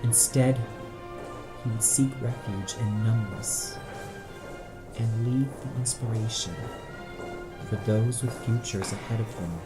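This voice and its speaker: A man's voice: on the low side, slow pace, long pauses, over music. A man narrating an audio play in a dramatic scripted style, solemn and theatrical.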